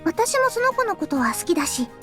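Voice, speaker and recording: Girlish young anime game heroine, very high and quick, music behind. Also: sing-song, fairly bright tone, exaggerated voice. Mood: wistful, hesitant. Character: girlish young female anime visual novel character. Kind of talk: Japanese visual novel voice acting.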